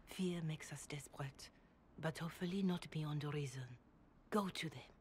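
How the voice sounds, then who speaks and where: An actress playing a calm, devout, middle-aged priestess who gives gentle, measured counsel in a fantasy game. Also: female voice, low voice, a little hiss or hum, natural voice. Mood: grave, earnest. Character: calm, devout, compassionate senior priestess, motherly and grave. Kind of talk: scripted fantasy game dialogue.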